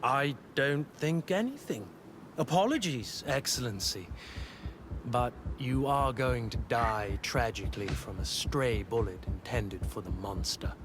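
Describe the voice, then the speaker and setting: A man's voice: mid-range voice, unhurried, over music, close to an everyday voice. An actor playing a cold, ruthless henchman officer in a video game, speaking formally and matter-of-factly. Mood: cold, menacing. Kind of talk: dramatic video game acting.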